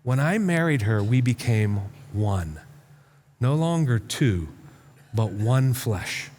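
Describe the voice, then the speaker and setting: A man preaching a conversational sermon as a pastor, informal and emphatic, often halting and repeating words to drive a point home. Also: medium pitch, big pitch swings, a little mellow, a little hiss or hum. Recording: reverberant hall sound.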